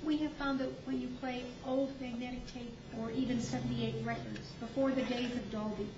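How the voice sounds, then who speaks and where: A woman's voice: on the high side, steady tone, brisk. A woman in the audience at a club meeting, telling anecdotes informally from the floor. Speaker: audience member. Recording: echoey, muffled, noisy room recording from far off.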